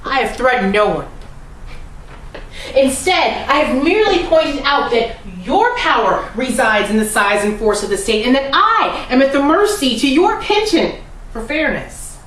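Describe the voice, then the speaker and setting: Woman acting a high-voiced, defiant courtroom defendant, distant mic. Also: very animated, fairly bright tone, heavily acted. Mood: solemn defiance. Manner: deliberate, oratorical, with weighted pauses. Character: defiant, oppressed defendant addressing a court with solemn conviction. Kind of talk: dramatic courtroom monologue reading. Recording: roomy, distant mic with faint noise.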